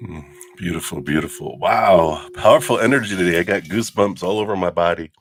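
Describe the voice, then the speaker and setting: A man with a low morning voice hosting a live spiritual reading stream, chatting casually in a giddy, effusive way. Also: fairly quick, slight background noise. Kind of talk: casual livestream chat with meditation guidance.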